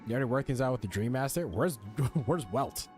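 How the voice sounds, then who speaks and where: A man's voice: medium pitch, expressive, brisk, darkish tone. A man streaming a video game with casual, blunt, slangy live commentary and some swearing. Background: game music.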